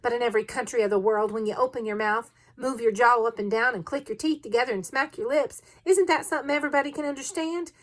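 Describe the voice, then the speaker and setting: A woman's voice: on the low side, lively intonation, moderate pace, few pauses, a bit bright. A woman voicing a hot-tempered, blunt Canadian harpooner in an audiobook story, indignant and emphatic. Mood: exasperated, hungry.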